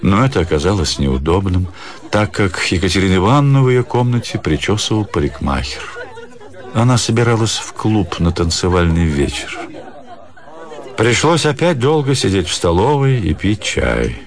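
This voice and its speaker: Deep-voiced man narrating a literary story. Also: sing-song, normal speed, a faint crowd. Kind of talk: literary narration in a radio play.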